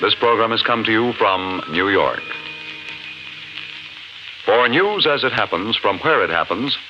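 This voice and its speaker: Male announcer, low-pitched, scripted 1950s radio delivery, music behind, dull recording. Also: lively intonation, unhurried.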